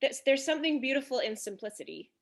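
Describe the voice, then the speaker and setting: A woman's voice: high voice, lively intonation, fast talker. A woman talking casually and reflectively, with an earnest manner. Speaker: cast member of an audio drama.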